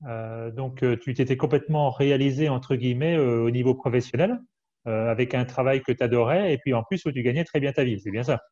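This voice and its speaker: Mellow-voiced male interviewer, dull sound. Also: mid-range voice, brisk. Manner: summarizing, checks understanding. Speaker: interview host. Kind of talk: interview questions.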